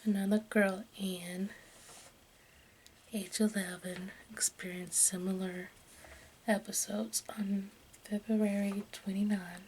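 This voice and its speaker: A woman reading aloud in ASMR style in a soft, breathy whisper and hushed speech, halting as she goes. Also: mid-range voice, flat delivery, rough recording. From United States. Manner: halting, stumbles over names and words. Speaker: ASMR video creator. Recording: faint background noise.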